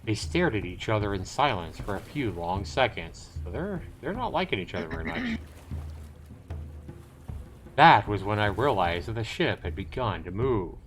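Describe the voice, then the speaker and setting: A man's voice: lowish voice, sing-song, normal speed, fairly bright tone, faint music behind. A man hosting a livestream, reading a visual novel aloud and commenting casually. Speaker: livestream host.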